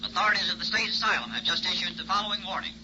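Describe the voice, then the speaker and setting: A formal news bulletin guy, fairly high voice, hissy muffled radio sound. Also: lively intonation, rapid-fire. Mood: urgent. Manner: crisp, formal bulletin delivery. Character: urgent male radio news announcer. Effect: radio speaker. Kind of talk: 1960s radio acting.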